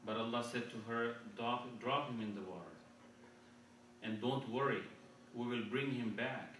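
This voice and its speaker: A man's voice: mid-range voice, a bit bright, slight background noise. Man preaching in a very echoey hall. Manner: earnest, repeats and restarts phrases, recites Arabic verses quickly. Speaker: speaker at an Islamic conference. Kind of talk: sermon. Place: mosque hall. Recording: very echoey hall, speaker far from mic.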